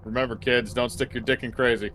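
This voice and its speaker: A man's voice: mid-range voice, steady tone, fast, a bit bright. A man co-hosting a livestream with casual, jokey banter and commentary over game music. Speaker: streamer co-host. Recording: music behind.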